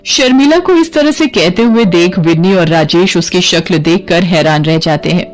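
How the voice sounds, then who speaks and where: A woman's voice: on the low side, normal speed, faint music behind. A woman reading scripted narration for a cartoon story.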